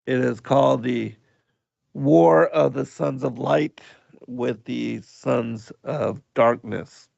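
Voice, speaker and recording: A man hosts a radio livestream with a hoarse voice that keeps giving out, and a deep fry runs through everything he says. He talks very slowly, pausing often and filling the gaps with ums. The sound is dry and close but dull, as if streamed at low quality. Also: darkish tone, no room sound. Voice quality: gravelly. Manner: slow, halting, with many pauses and fillers. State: hoarse, voice going out. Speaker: radio show host. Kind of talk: livestream radio talk, reading chat.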